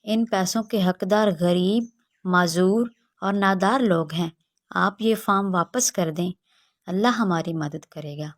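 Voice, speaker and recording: A woman's voice: lowish voice, a little slow. A woman voicing a principled, grateful young village daughter in a children's moral story, polite and earnest. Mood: resolute.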